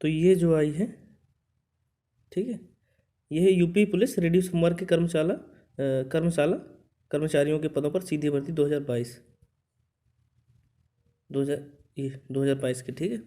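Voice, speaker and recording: A man with a soft, mellow voice pitched a little high. He talks like a coaching instructor going through an official notice for students, conversational and explanatory, often adding small tag words to check in. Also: moderate pace, pauses often. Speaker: exam coaching instructor and channel host. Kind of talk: informal explanatory lesson, reading out a recruitment notice.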